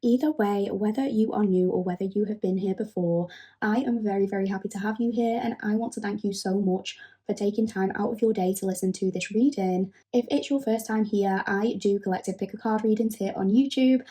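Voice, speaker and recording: A woman recovering from a cold, gently and thoroughly introducing a tarot reading. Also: mid-range voice, brisk. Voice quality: husky. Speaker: tarot reader.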